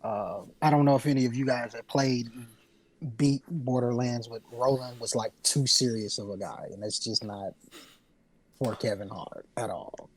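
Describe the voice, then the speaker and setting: A man with an average-pitched voice in casual podcast conversation. He talks informally and rambles through his opinions as a co-host. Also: normal speed, low-quality recording. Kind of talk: casual chat about video games.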